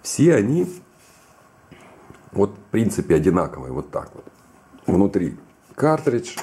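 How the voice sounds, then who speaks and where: A man's voice: low voice, a little mellow, a little hiss or hum. A man casually giving a hands-on tutorial and telling stories, playfully repeating phrases for comic effect. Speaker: printer repair technician giving advice. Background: background music with guitar.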